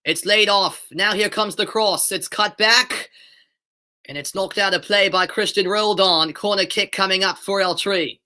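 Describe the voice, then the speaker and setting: Young American male, amateur play-by-play caller, bright tense tone, excitable on chances, webcam in a bedroom. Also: high voice, measured pace. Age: young adult. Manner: excitable build-ups on shots, chatty asides to viewers. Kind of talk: live play-by-play commentary mixed with chat. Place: bedroom at home.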